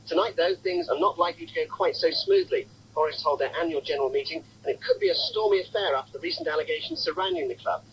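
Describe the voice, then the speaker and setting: A man presenting a scripted sports bulletin on the radio. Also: fairly high pitch. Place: radio studio. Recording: faintly noisy old radio broadcast.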